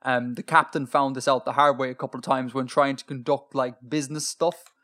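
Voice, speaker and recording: A man's voice: medium pitch, little pitch movement, a little fast, fairly bright tone. A man co-hosting a podcast in casual chat, talkative and self-deprecating, with plenty of ums. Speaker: podcast co-host.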